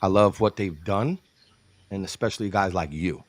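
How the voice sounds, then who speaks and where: A man's voice: low-pitched, normal speed, no room sound. A man from New York hosting a casual interview show, hyping his guest, laughing often and calling him brother. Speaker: show host.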